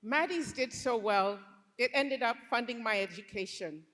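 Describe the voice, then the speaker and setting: A woman's voice: fairly high pitch, moderate pace, fairly bright tone. A woman from Liberia telling a true story to a live audience, reflective and earnest. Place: stage before a live audience. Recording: long hall reverb on stage.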